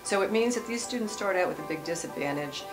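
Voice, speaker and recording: A woman who teaches English as a second language gives thoughtful, measured interview answers, pausing often to think. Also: medium pitch, lively intonation, low-quality recording. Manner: thoughtful, measured, with frequent "um" pauses. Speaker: ESL teacher. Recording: music bed under voice.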